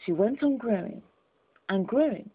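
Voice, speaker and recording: A woman reading a classic children's story aloud in an expressive, careful storytelling manner. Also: fairly high pitch, normal speed, breathy edge. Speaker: audiobook reader. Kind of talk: storybook narration. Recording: tinny phone-like audio.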